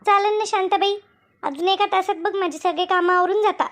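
Very high-pitched rustic cartoon village woman, acted by a woman. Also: fairly even intonation, a little fast, a bit bright. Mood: brisk, friendly. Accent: rural Maharashtrian Marathi. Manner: colloquial, repetitive, rustic village talk. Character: chatty village women in a comic cartoon. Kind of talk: animated comedy dialogue.

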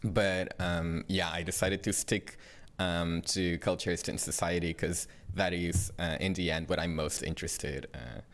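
A young man from Portugal with a very low voice answers panel questions in a bright studio, speaking into a desk mic. He pauses often and fills gaps with "um" and "uh" as he works out what he wants to say. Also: flat delivery. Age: young adult. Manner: hesitant, many fillers. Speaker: student panelist. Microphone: desk microphone.

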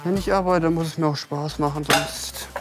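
A man's voice: fairly high pitch, fairly even intonation, moderate pace, music in the background. A twenty-one-year-old man giving curt, indifferent short answers. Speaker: interviewee.

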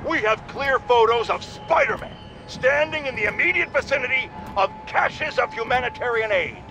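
Blustering, high-pitched radio ranter. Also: male voice, expressive, normal speed. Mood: triumphant, accusing. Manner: bombastic, sarcastic, exaggerated indignation. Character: blustering, irascible, self-important male radio pundit. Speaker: radio talk show host. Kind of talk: opinionated radio rant. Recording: clear background city noise from gameplay.

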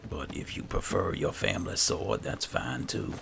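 A man with an exceptionally low voice narrates his game in a casual, musing way. His pitch moves around a great deal and is thick with creak, as he thinks out loud. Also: big pitch swings, moderate pace, background noise. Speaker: gaming video host. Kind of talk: casual roleplay game commentary.

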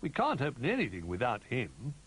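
A man voicing a kindly old teddy bear in a children's story, speaking as a gentle, reassuring elder. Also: medium pitch, wildly swooping pitch, fairly quick, breathy edge, voice pushed up, exaggerated voice. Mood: anxious.

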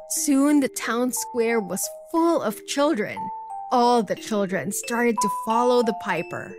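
A woman narrating a scripted bedtime story for children. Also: on the high side.

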